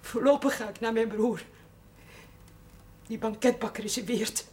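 An actress playing a prim, old-fashioned, disapproving middle-aged housekeeper, speaking formally and stiffly in a television drama. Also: female voice, fairly high pitch, fairly even intonation, normal speed, warm tone, close to an everyday voice. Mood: prim, aggrieved. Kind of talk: Dutch television drama acting.

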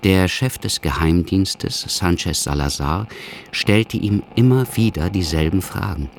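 An actor serving as the sober, measured narrator of a historical radio drama. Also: male voice, low voice, vocal fry, slight background noise. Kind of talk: scripted narration.

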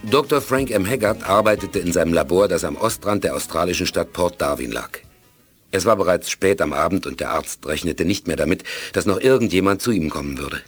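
An actor narrating a science-fiction radio play as a serious, measured storyteller. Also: male voice, low-pitched, lively intonation, soft background music. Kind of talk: scripted radio drama narration.

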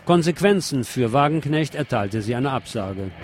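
A man's voice: medium pitch, animated, normal speed, loud music behind the voice. A man presenting on the radio, reading scripted news and adding commentary.

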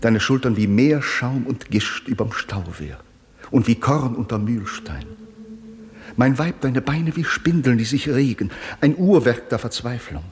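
An actor playing a solemn, entranced poet who recites incantatory verse in measured phrases for a radio drama. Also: male voice, medium pitch, expressive, darkish tone, lightly acted. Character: solemn male lover-poet chanting surrealist verse, entranced.

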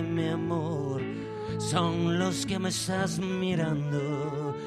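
A woman singing a slow, sad ballad with guitar accompaniment. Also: sits low. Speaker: singer. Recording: loud guitar music with the voice.

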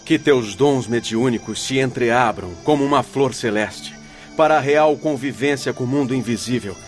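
A man's voice: medium pitch, moderate pace, natural voice. An actor playing a solemn male spirit who exhorts in declamatory radio drama style. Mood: fervent, solemn. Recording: background music under the voice.

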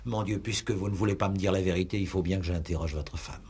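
An actor playing an insistent, exasperated examining magistrate in a 1960s French radio police play, pressing and sarcastic in a formal, theatrical way. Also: male voice, low-pitched, steady tone, quick pace, darkish tone, slightly rough, heavily acted.

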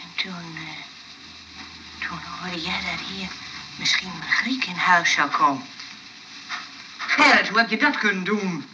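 A woman's voice: lowish voice, a little slow, long pauses, noisy background, close to an everyday voice. An actress playing an indignant, exclamatory woman of the house in a Dutch radio comedy. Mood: restrained, reproachful. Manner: exclamatory, bossy. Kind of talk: Dutch radio play acting.

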